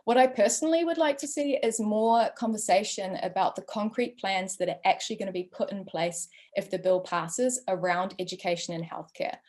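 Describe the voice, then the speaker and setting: A young woman with a New Zealand accent introduces an online panel in an earnest, conversational way. She fills pauses with frequent ums as she talks. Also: mid-range voice, a little mellow. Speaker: panel host. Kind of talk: webinar introduction and opinion.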